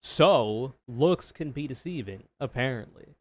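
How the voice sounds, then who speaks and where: A man with a low voice giving casual, opinionated reaction commentary, swearing freely and explaining in loose run-on sentences. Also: animated, unhurried. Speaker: streamer reacting to a video.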